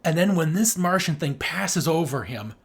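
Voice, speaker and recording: A man's voice: a little high, animated, normal speed, slightly rough. A man co-hosting a podcast, chatting casually and sarcastically as he reviews a film. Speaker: podcast co-host. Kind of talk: casual chat, movie review.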